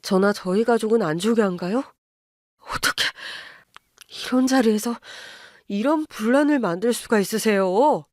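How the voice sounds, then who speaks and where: A woman voicing a mild young husband who speaks low, measured and firm, holding back anger. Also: a little mellow, dry recording, clean studio sound, lightly acted. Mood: exasperated.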